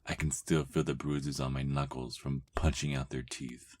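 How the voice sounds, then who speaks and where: Man voicing a very deep, brooding vampire. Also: flat delivery, moderate pace, darkish tone. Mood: weary, brooding. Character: weary, brooding centuries-old male vampire with a youthful body. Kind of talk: dramatic diary monologue, ASMR audio roleplay.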